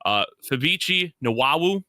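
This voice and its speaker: Male sports co-host, very bright tense edge, emphatic and opinionated, dry close sound. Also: fairly high pitch, lively intonation, unhurried, no room sound. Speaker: podcast co-host. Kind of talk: casual sports talk, analysis.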